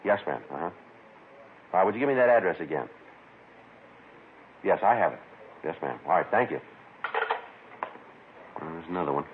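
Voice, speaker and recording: On a noisy old 1950s radio recording, a terse police detective with a very deep voice. He is clipped, businesslike and deadpan, questioning and narrating with flat matter-of-fact economy. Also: moderate pace, long pauses, phone-quality sound.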